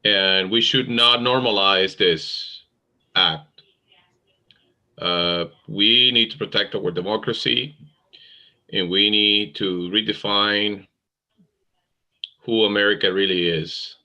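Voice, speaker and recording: A man from Venezuela, speaking English in a slow, deliberate, solemn way. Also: fairly low pitch, sharp tone. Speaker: participant. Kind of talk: earnest community statement.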